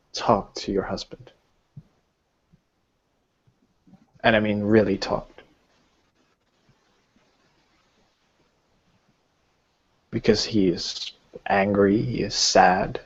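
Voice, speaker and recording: A man voices a grave, concerned female werewolf elder in a roleplaying game. The voice is low and very slow, halting between earnest, emphatic phrases of blunt advice. The sound is dull and lo-fi. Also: steady tone, slightly rough.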